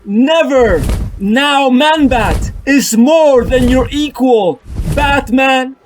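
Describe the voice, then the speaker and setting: A man's voice: extremely high pitch, slight background noise, pitched above the performer's own voice, heavily acted. A man voicing a proud, combative half-man half-bat creature in a comic-book dub. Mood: defiant rage. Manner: boastful, combative, halting outbursts. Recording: music bed under the voice.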